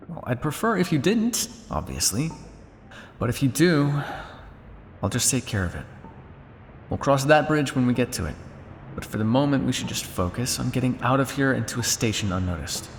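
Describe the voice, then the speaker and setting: A cynical vampire scientist, acted by a man, speaks close and intimately in an ASMR roleplay. His medium-pitched voice moves expressively between sardonic jabs and weary condescension. A long reverb trails behind his words. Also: big pitch swings, normal speed, soft background music. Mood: measured, planning. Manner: sardonic, condescending, with exasperated sighs and dry asides.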